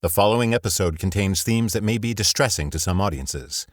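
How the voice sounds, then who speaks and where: A man's voice: fairly low pitch, expressive, brisk, no room sound, clean studio sound, soft background music. A man announcer reading a scripted introduction and notice.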